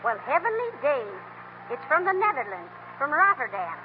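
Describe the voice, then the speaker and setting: An actress playing a good-natured, teasing middle-aged housewife with folksy, wry delivery in a 1930s radio comedy. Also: female voice, high voice, sing-song, normal speed. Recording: crackly muffled 1930s radio broadcast.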